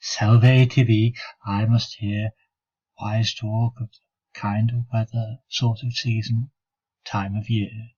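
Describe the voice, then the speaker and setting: A spiteful, jealous monk, acted out by a man in a dramatic poetry monologue. The voice is husky and the delivery slow, full of sneering sarcasm and hatred for a fellow brother. The recording is a little dull and lo-fi. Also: fairly low pitch, level tone, darkish tone. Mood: sneering, mocking. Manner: sneering, sarcastic, growling asides. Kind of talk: dramatic poetry recitation, 19th century monologue.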